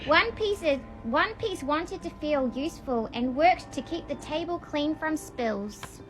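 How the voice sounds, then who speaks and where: A woman's voice: sits high, animated, measured pace, over music, low-quality recording. A woman reading aloud in a childlike storybook manner, with a casual, homemade read-along style. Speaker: vlogger reading a picture book aloud.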